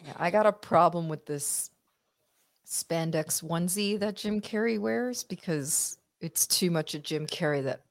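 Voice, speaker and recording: Woman with a lowish voice, chatting bluntly. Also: unhurried. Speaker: podcast co-host. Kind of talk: casual watch-party chat.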